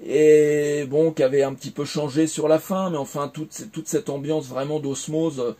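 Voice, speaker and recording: A man's voice: on the high side, little pitch movement, normal speed, mellow. A French man in his forties, recounting his career in a casual interview, hesitant with many fillers and restarts. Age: 40s. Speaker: interviewee, former game developer.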